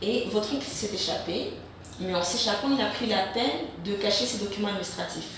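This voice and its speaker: A woman's voice: medium pitch, brisk. A woman hosting a web TV interview, asking questions with many hesitations and restarts. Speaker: host. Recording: echoey, distant mic with hum.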